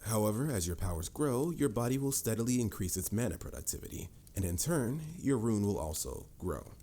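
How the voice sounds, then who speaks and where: A man's voice: lowish voice, lively intonation, fairly quick, dark tone. A man playing a confident, patient mage mentor in a fantasy audio roleplay, instructive and slightly formal in a theatrical way. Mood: calm, instructive. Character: confident adult male mage mentor, patient, boastful, encouraging. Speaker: voice actor. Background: music.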